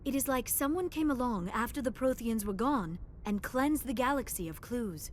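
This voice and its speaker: A woman's voice: on the high side, animated, moderate pace, a little hiss or hum, close to an everyday voice. Earnest young alien scholar voiced by a woman. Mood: earnest, intent. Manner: precise, formal, earnest. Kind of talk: video game dialogue acting.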